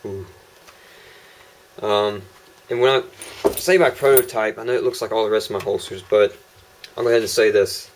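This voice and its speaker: A man's voice: on the low side, moderate pace, pauses often, slight background noise, low-quality recording. A young man casually demonstrating holster prototypes on camera, rambling with lots of ums and uhs. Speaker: holster maker showing prototypes. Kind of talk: casual product demo vlog. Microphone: camera mic on a tripod.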